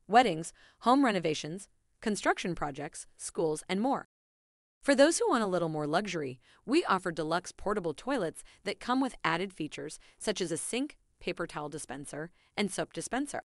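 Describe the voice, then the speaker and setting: Woman, lowish voice, animated intonation, scripted ad narration, polished phrasing, dry close sound. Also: dry recording. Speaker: advertising presenter.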